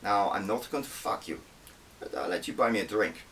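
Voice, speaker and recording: A man's voice: fairly low pitch, brisk, a bit bright, recorded from a distance, a little hiss or hum, lightly acted. A man voicing a cocky, flirtatious combat instructor and spy in an audiobook, teasing and self-assured. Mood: flirty, teasing.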